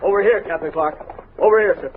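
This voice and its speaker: An actor playing an eager, respectful frontier army sergeant with a folksy manner in an old-time radio western. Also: male voice, high-pitched, quick pace, lightly acted. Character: Sergeant Ordway, eager, respectful frontier soldier craving tobacco. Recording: tinny noisy old radio recording.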